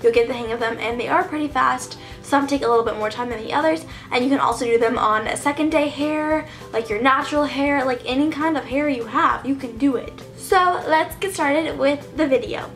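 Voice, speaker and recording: A young woman with a slightly raised voice that is going a little hoarse. She talks through a hair tutorial in a chatty, upbeat way, full of casual fillers, with faint music playing under her. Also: high-pitched, brisk, hardly any pauses, fairly bright tone. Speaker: beauty vlogger. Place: bedroom.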